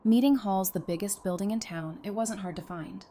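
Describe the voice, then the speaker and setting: A woman's voice: medium pitch, moderate pace, mellow, lightly acted. An actress playing a concerned, plain-spoken young mother with a matter-of-fact delivery in an audio drama. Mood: worried, matter-of-fact.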